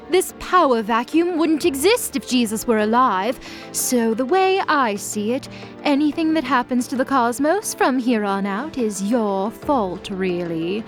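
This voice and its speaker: A woman voicing a cold, vengeful goddess who speaks in a deliberate, threatening, dramatic way. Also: a little high. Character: cold, vengeful, menacing goddess, bitterly accusing.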